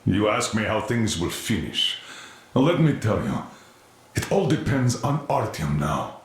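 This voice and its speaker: Gravelly, echoing military commander. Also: male voice, lowish voice, big pitch swings, a little slow. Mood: urgent, desperate. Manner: emphatic, commanding. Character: hard, driven military commander protecting his people. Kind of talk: dramatic video game acting. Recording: very echoey, reverberant room sound.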